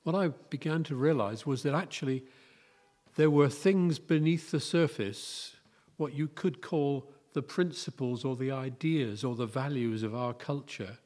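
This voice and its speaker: A British man preaching a sermon in a candid, conversational way, his voice hoarse and croaky. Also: medium pitch, unhurried, a little mellow. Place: church hall. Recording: long reverb of a church hall.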